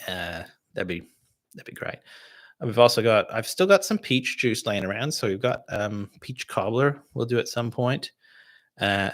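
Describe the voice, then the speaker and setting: A Canadian man with a North American accent, hosting a casual livestream and answering viewer questions in a relaxed, rambling way. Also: mid-range voice. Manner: relaxed and rambling, with many fillers and restarts. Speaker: distiller hosting a livestream tasting.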